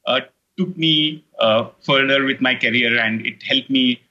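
A man's voice: mid-range voice, unhurried, pushed and bright, highs cut off. A middle-aged man from India with an Indian English accent, a native Telugu speaker, chatting as an interview guest, rambling with many fillers and restarts. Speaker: guest, online course creator. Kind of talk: casual interview chat.

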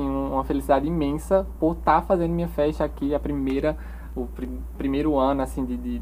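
A man's voice: mid-range voice, dark tone, slight background noise. A young man from Paraíba with a Northeastern Brazilian accent, a podcast guest who talks proudly and stumbles over his words with many restarts. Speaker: interview guest, page owner. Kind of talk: casual podcast interview.

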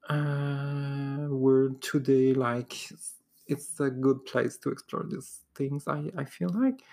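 Solo podcast host, woman, native French speaker with a Québécois accent; low, unhurried delivery, lots of "uh" and restarts. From Quebec, Canada. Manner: hesitant, many "uh" fillers and restarts, occasional soft laughs.

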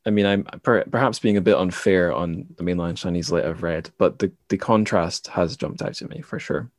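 A man's voice: deep voice, moderate pace, no echo, studio quality. A man hosting a podcast in a thoughtful, reflective literary discussion, carefully qualifying his points. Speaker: podcast host.

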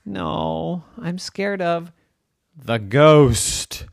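A man's voice: sits high, lively intonation, slow pace, creaky, fairly bright tone, no room sound, studio quality, pitched above the performer's own voice, full character voice. A man voicing a scared little girl who exclaims and wails dramatically in a bedtime story. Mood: nervous. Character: scared little girl, whiny, then screaming.

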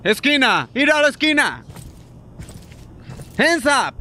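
Stern policeman barking slow orders. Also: very high voice, big pitch swings, pushed and bright, heavily acted. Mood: stern, commanding. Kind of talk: radio theatre acting.

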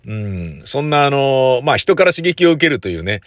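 A man's voice: mid-range voice, very animated, normal speed, fairly bright tone, no room sound, clean studio sound. A man who hosts radio, chatting casually on his own in a rambling, conversational way. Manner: rambling, conversational, with frequent sentence-ending "ne" tags. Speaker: radio show host and producer. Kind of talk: casual solo chat.

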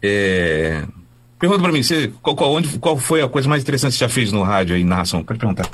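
A man's voice: medium pitch, fast, a little hiss or hum. A man with a Brazilian Portuguese accent, a voice-over announcer telling stories in a casual interview, chatty and full of restarts. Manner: animated, chatty, many restarts.

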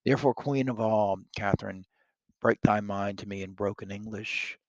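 A man's voice: on the low side, fairly even intonation, moderate pace, a little mellow, dry recording, muffled recording, natural voice. A man reading the part of a bold young English king wooing a princess, declaiming the old verse earnestly. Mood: ardent.

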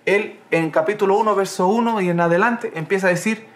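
A man with a high, light voice leads a relaxed Bible study from home. He talks conversationally, restates each point twice, and keeps checking in with his listeners with a quick "¿ah?". He drops an "amén" between his thoughts. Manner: repeats phrases, punctuates with "amén" and "¿ah?". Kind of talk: casual Bible study livestream. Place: home in Canada.

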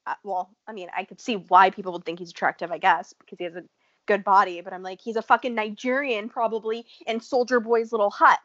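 A woman's voice: mid-range voice, fairly even intonation, fast, a bit bright, no room sound. American woman gossiping, swears a lot, laughs a lot, kinda dull dry sound. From United States. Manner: blunt and profane, laughing often. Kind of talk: casual chat about a reality show.

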